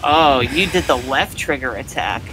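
A young man with an American accent and a naturally high voice, casually coaching someone through a video game. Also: normal speed, music in the background, poor audio. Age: young adult. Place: home gaming room, indoors.

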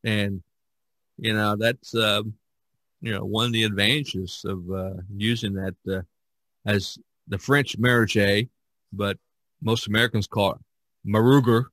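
A man's voice: fairly low pitch, fairly even intonation, unhurried, long pauses, fairly bright tone, no room sound, low-quality recording. A man hosting a podcast in a casual, rambling and jokey way. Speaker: podcast host. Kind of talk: casual chat about painting.

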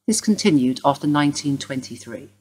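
A woman acting in a radio play as a stern police detective, formal, clipped and insistent, with a husky voice. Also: sits low, animated, fairly quick, darkish tone, poor audio. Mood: formal, probing. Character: stern, probing female police detective inspector.

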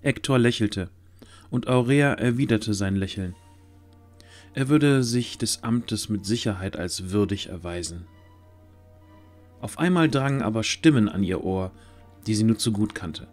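Male narrator for fantasy audio drama, lower register, scripted storytelling over soft music. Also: measured pace, pauses often.

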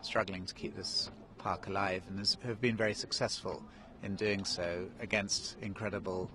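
A man's voice: low-pitched, steady tone, moderate pace, background noise. A man in his forties who grew up in Kenya, answering questions in a live outdoor TV interview, hesitant with many ums and restarts. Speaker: interview guest, national park director. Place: outdoors in snow. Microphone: handheld mic held by interviewer.

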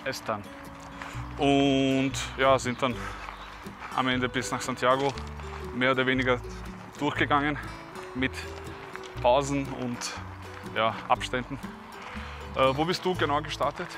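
A man's voice: medium pitch, steady tone, unhurried, long pauses. Man, thirties, casual interviewer, restrained intonation, outdoors, background music. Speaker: vlog host and interviewer. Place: outdoors on a mountain valley hike and at a garden table.

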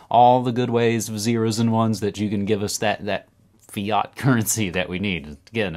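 A man in his thirties hosting a casual news roundup to camera from a room at home, animated and talking with his hands. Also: lowish voice. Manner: animated, talks with his hands, upbeat asides. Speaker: podcast host. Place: home room with record shelves.

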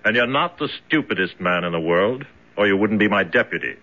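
An actor playing a cold captor in charge in a radio drama, firm and patronizing. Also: male voice, fairly low pitch, animated, a little fast, pushed and bright. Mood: condescending. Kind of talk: 1970s radio drama acting. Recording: dull vintage radio recording.

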